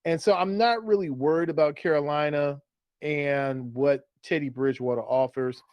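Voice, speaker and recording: A man's voice: fairly high pitch, fairly even intonation, moderate pace, darkish tone, dry recording. A man co-hosting a sports show, talking casually and giving his opinions in a conversational way. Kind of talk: casual fantasy football banter.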